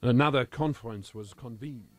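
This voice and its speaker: A man's voice: mid-range voice, moderate pace, natural voice. A man voicing an earnest, pompous thirty-eight-year-old Frenchman with a French accent, reading a comic audiobook diary in formal, quaintly wordy phrases. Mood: earnest, self-important.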